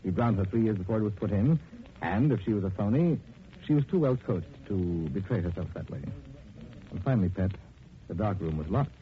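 Casting: man to play unflappable gentleman sleuth, pedantic and wry, lively pitch, vintage radio with phone-like band. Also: brisk, lightly acted. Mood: wry explaining. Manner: polished, dry, pedantic. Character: suave, unflappable gentleman detective, dryly witty. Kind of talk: 1940s radio detective drama acting. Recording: tinny, noisy 1940s radio recording.

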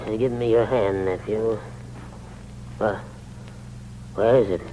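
An actor playing a suspicious, quietly shrewd old blind uncle in a 1940s radio thriller, deliberate and probing. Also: male voice, fairly low pitch, steady tone, low-quality recording. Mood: uncertain. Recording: hissy old radio recording with hum.

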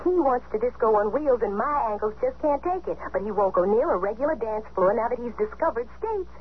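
Woman acting needy chatty girlfriend, highish lively voice, radio ad, dull phone sound. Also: fairly quick, lightly acted. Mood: chatty, fretful. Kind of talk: 1970s radio commercial acting. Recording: dull telephone-like radio, faint hiss.

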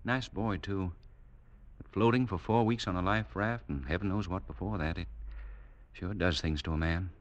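An actor playing a genial, sympathetic hospital doctor in an old radio drama. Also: male voice, deep voice, moderate pace, highs cut off, natural voice. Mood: sympathetic, casual. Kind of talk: 1950s radio drama acting.

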